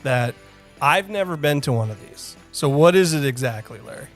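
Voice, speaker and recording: A man's voice: a little high, lively intonation, moderate pace, faint music behind. A man co-hosting a podcast in casual interview chat, joking and enthusiastic. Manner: jokey, enthusiastic. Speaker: podcast co-host. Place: indoors at a small theatre.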